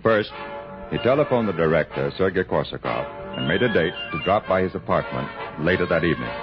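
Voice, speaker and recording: An actor playing a wry storyteller, narrating a radio thriller in an unhurried manner. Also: male voice, deep voice, animated. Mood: wry, suspenseful. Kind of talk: 1960s radio drama scripted narration. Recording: muffled old radio broadcast, musical backing. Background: music.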